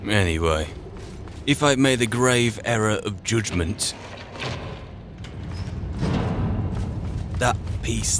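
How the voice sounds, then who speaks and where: Man playing a low-voiced, wry fantasy adventurer. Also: noisy background. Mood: resigned, wry. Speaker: player narrating a roleplay. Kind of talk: in-character game roleplay monologue.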